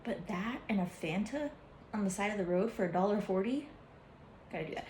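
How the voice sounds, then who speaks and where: A chatty young woman rambles through her travel food stories, her talk full of fillers and quick asides. Her voice is mellow and relaxed. It rings a little in an echoey room. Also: mid-range voice, a little hiss or hum. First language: English, some Hindi learned. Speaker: travel vlogger. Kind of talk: casual chat.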